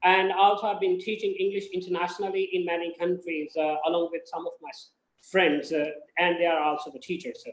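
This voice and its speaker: A man's voice: sits high, level tone, a little fast. A man from Pakistan, an English teacher, introducing himself and his students with many hesitations. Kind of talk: self-introduction on a video call. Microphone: video call. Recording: echoey room on a call.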